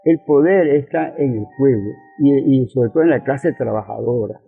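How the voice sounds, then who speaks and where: A man's voice: medium pitch, normal speed, vocal fry, husky. An older man with a Venezuelan accent explaining political ideas in an interview, didactically, with rhetorical questions and repeated key phrases. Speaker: interviewed communist politician and professor. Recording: thin phone-like radio sound with music.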